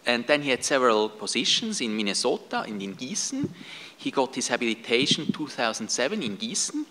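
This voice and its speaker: A man's voice: mid-range voice, sing-song, fairly quick, sharp tone. A middle-aged man chairing a session, formally introducing the plenary speaker from a podium microphone in an auditorium. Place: large auditorium stage.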